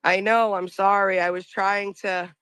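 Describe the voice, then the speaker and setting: A woman with a Boston accent and a deep voice, chatting casually and rambling as a livestream host, laughing often, on a phone outdoors. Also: level tone, measured pace, fairly bright tone, clear, resonant tone, no room sound. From Boston area.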